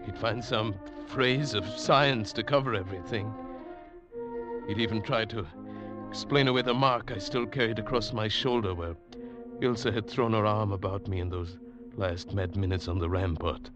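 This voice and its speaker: An actor playing a haunted, desperate man in a 1940s radio drama, halting, intense and brooding. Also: fairly low pitch, natural voice. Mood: brooding, resolved. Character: haunted, desperate man clinging to his sanity. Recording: muffled vintage radio, music behind.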